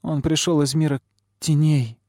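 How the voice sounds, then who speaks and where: A man's voice: sits high, lively intonation, normal speed, no echo, studio quality, pitched above the performer's own voice. A man voicing a defiant young girl in an audiobook story, shrieking and tearful with indignation. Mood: tearful accusation. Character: furious, defiant, weeping young girl named Flame.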